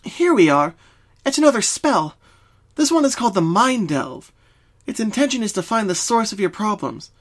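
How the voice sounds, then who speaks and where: A man's voice: sits high, very animated, moderate pace, voice pushed up. A man voicing a confident, studious young female unicorn who explains things reassuringly in a dramatic story reading. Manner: assured, explanatory.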